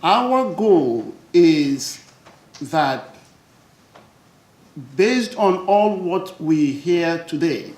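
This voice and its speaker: A man with a slightly high voice welcomes a conference crowd. He is jovial and pauses often on "uh". The hall around him is roomy and echoing. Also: expressive, darkish tone. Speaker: conference speaker. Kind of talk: welcoming address. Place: large lecture hall. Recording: echoey hall.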